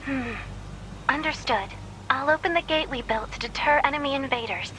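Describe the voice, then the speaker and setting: Woman doing kindly polite robot voice for a game, super high, noisy background. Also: moderate pace, close to an everyday voice. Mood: kindly, welcoming. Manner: formal, polite. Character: kindly, courteous robot village leader. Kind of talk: English video game voice acting.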